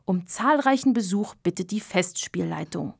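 A woman's voice: medium pitch, animated, moderate pace, creaky, husky, dry recording, clean studio sound. A woman narrating a scripted children's audio drama as its storyteller. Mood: storytelling. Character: storyteller of a children's ghost tale.

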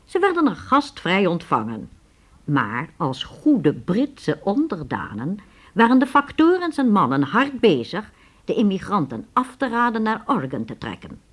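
A woman narrating a radio drama from a script in a clearly phrased storytelling manner. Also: medium pitch, huge pitch swings, measured pace.

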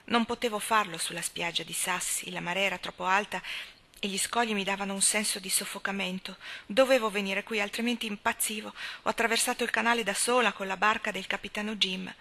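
A woman's voice: mid-range voice, fairly even intonation, fairly quick, bright, forceful tone. A woman reading a story as an anguished, restless young woman who speaks in vehement, exclaiming bursts. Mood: restless, agitated. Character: anguished young woman confessing a hopeless secret love.

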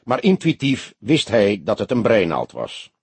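A man's voice: fairly low pitch, huge pitch swings, a little slow, slightly rough, dry recording. A man narrating an audiobook as scripted dramatic storytelling.

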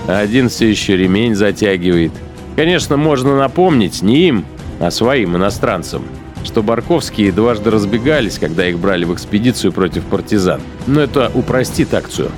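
A cold, sardonic Nazi commander played by a man thinks aloud in a radio play. His voice sits low, and he weighs his phrases with deliberate contempt. Music plays faintly behind him. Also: animated, close to an everyday voice. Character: cynical, cold-blooded male Nazi punitive unit commander, musing contemptuously. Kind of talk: dramatized inner monologue in radio play.

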